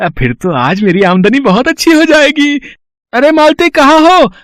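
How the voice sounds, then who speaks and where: A man's voice: very high-pitched, expressive, measured pace, fairly bright tone, no echo, clean studio sound, exaggerated voice. An actor, a man, playing a greedy, irritable small-town trader in a moral story, grumbling and scolding fussily.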